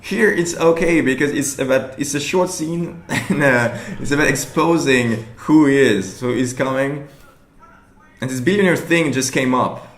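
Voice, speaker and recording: Man, mid pitch, halting, frequent restarts, casual commentary, echoey room. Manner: halting, many restarts, laughs often. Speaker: improv performer reviewing his show. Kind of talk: casual commentary over show footage.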